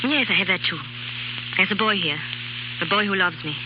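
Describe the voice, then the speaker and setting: A proud, wounded baroness played by an actress, speaking with cool, clipped drama in the manner of 1930s radio plays. The old broadcast is muffled and thick with noise. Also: female voice, mid-range voice, a little fast, natural voice. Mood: bitter, defiant. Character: bitter, wounded young baroness, proudly cold.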